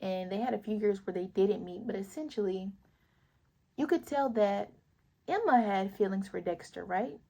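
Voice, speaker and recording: A young woman vlogs straight to her viewers in an earnest, emphatic, slangy way. Her voice is raspy, with a gravelly fry and a husky, breathy edge. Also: medium pitch, moderate pace, mellow. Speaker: vlogger. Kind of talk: casual opinion vlog.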